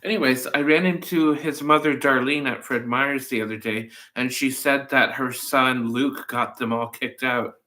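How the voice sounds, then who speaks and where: A man's voice: mid-range voice, fairly even intonation, normal speed, few pauses, pushed and bright. A man hosting a cooking livestream, rambling casually with playful, silly asides. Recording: echoey room.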